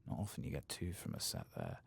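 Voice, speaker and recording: A man softly murmuring low-key ASMR game commentary to himself, breathy and gravelly, often slipping into a whisper. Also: huge pitch swings, fast talker. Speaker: streamer playing a video game.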